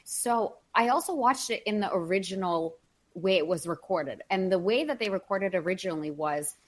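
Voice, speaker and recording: A woman's voice: mid-range voice, expressive, moderate pace. A podcast host, a woman from Ukraine whose first language is Russian, telling stories in an earnest, opinionated way. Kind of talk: casual podcast conversation.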